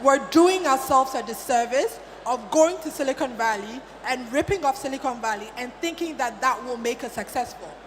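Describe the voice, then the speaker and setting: A woman's voice: high-pitched, lively intonation, moderate pace, hardly any pauses. A woman speaking as an entrepreneur on a panel, assertive and arguing point by point. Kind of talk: panel discussion. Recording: conference hall reverb, faint noise.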